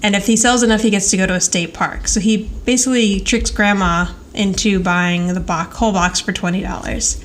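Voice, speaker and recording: A woman's voice: medium pitch, steady tone, fairly quick, hardly any pauses, a little hiss or hum. A woman co-hosting a podcast, recapping scenes in detail in a casual, conversational way. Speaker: podcast co-host. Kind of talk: casual episode recap chat.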